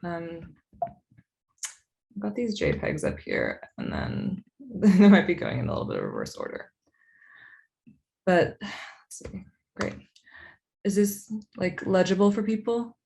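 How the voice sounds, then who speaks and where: A woman's voice: on the low side, wildly swooping pitch, a little slow, vocal fry, long pauses, mellow. A woman talking informally on a video call, a little hesitant and apologetic, often saying "like". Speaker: comics artist, event presenter. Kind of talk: casual, informal talk. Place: virtual event over video call.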